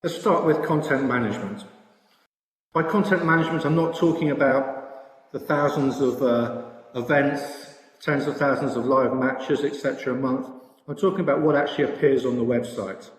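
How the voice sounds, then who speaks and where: A British man presenting at an industry conference with a slide talk, speaking haltingly and repeating words now and then. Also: mid-range voice. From Britain. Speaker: industry conference presenter, sportsbook products director. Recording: echoey room with long reverb.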